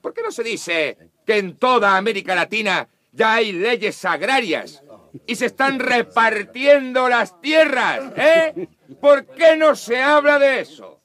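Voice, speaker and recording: An indignant male lawyer in a courtroom radio play, acted by a man, very high and sharp in voice. He declaims his protests with big swings of pitch, firing off rhetorical questions. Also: animated, takes it slowly, full character voice. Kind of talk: radio drama acting.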